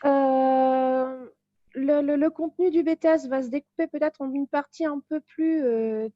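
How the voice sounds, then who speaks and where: A woman's voice: sits high, fairly even intonation, unhurried, no room sound, lo-fi sound. A woman giving an informal, explanatory presentation of a study programme as a teacher. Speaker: teacher presenting a real estate course.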